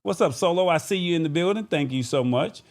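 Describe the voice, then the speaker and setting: A man's voice: on the high side, animated, brisk. A man hosting a live-streamed talk show in an informal, conversational way, speaking directly to viewers. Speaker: show host.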